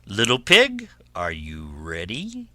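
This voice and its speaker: A man voicing a sly, menacing storybook wolf who coaxes and blusters in a drawn-out, huffing delivery. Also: low voice, huge pitch swings, pushed and bright, dry recording, full character voice. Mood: sly coaxing. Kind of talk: children's fairy tale character voice.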